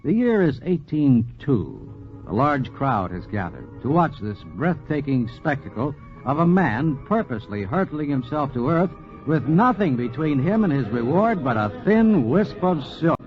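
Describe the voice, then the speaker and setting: A man narrating a scripted 1940s radio documentary with dramatic, deliberate emphasis. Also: on the high side, big pitch swings, soft background music. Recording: dull 1940s radio recording.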